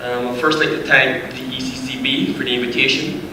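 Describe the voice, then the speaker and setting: A man's voice: mid-range voice, flat delivery, brisk. A Barbados-born man giving a polite, conversational conference talk as guest speaker, lightly self-deprecating. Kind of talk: conference presentation. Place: conference hall. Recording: echoey hall, distant mic, noisy.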